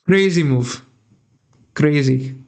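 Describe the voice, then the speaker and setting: A man's voice: a little high, slow pace, a little mellow. A young man from India with an Indian accent, thinking aloud as he comments on a chess game and chats with his viewers from a small room. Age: young adult. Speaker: chess streamer.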